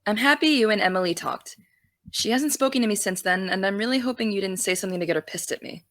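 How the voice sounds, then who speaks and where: A young actress playing an earnest high school girl who wants to be a journalist, defensive and dry. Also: lively intonation, fast, fairly bright tone, no echo. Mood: pleading. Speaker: student podcast host. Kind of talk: audio drama.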